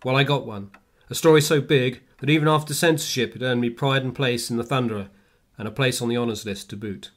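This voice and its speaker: An actor playing a former Victorian reporter, solemnly narrating a radio play in measured, formal speech. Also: male voice, medium pitch, close to an everyday voice. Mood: measured, reflective. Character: male former reporter recounting a true Victorian adventure.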